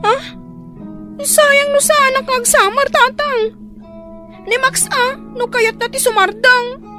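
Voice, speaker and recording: A hurried, defensive young daughter with an Ilocano accent. Her voice is strikingly high. Faint music plays behind this radio drama. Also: steady tone.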